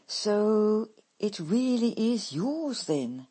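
Woman voicing an awkward courteous young man, slow and breathy, storybook reading. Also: medium pitch, sing-song, mellow, pitched above the performer's own voice, full character voice. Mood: polite.

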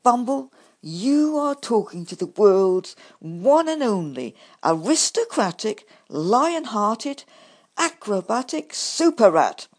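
A woman's voice: a little high, huge pitch swings, slow pace, slightly rough, pitched above the performer's own voice, heavily acted. A woman voicing a boastful, snappish male rat who calls himself a super rat. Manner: snappish, pompous.

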